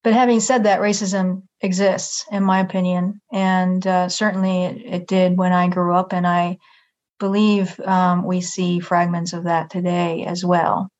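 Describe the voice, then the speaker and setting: A middle-aged woman from Japan, a native Japanese speaker, giving measured, thoughtful interview answers and choosing her words carefully. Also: lowish voice, level tone, a little mellow, dull audio. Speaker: interview guest.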